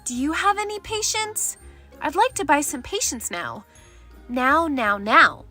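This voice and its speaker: Storybook frog kid played by a woman: impatient, insistent, bright, swooping delivery over light music. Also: sits high, big pitch swings, a little slow, voice pushed up, heavily acted. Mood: demanding. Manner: whiny, insistent, demanding. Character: impatient demanding little boy frog, shouting for everything now.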